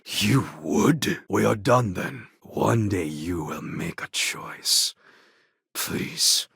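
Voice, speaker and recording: A man playing a proud, brooding warlord and rebel leader in a video game, with a husky, gravelly voice and grave, formal, deliberate delivery. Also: lowish voice, sharp tone, dry recording, studio quality, exaggerated voice. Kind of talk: video game character acting.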